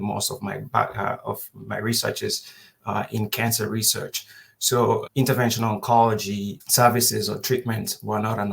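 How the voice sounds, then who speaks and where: A man in his thirties speaks in a low, gravelly voice. His tone stays flat and even as he lectures. He pauses often and repeats himself while working out his thoughts over a video call. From Kenya. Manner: unhurried, thinks aloud with pauses and repeats. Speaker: guest presenter. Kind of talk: webinar lecture.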